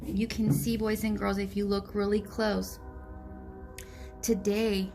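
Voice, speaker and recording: A woman teacher reading a storybook aloud to young children in a deliberate, direct way. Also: medium pitch, little pitch movement, over music.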